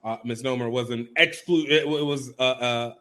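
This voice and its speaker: A man hosting a casual interview podcast. He chats in a lively, laughing way and acts out quoted voices with big jumps in pitch. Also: moderate pace. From Nigerian family, raised in America. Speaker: podcast host.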